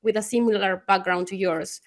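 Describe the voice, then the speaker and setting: A woman's voice: medium pitch, steady tone, normal speed. A woman hosting a virtual Q&A interview, encouraging and affirming, often ending with "right?".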